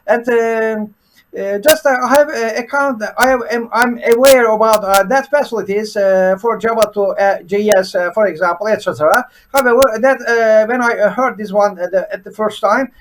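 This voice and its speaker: A man's voice: high-pitched, a little hiss or hum, poor audio. A man from Turkey, a native Turkish speaker with a Turkish accent, giving an unscripted presentation as a programming teacher, stopping on "uh" between nearly every few words. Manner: halting, with constant "uh" fillers between words. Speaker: programming teacher and channel host. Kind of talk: unscripted screen-share presentation.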